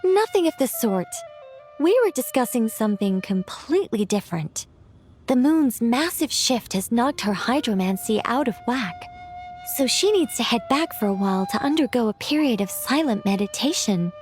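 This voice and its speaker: A whimsical female mage from a video game, played by a woman, speaks in a high, youthful voice. She is composed and musing, dodging questions playfully and taking her time. Soft music plays behind her. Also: expressive, normal speed, natural voice. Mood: calm, explanatory. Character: whimsical, composed female mage, once an angel, young-sounding. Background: background music.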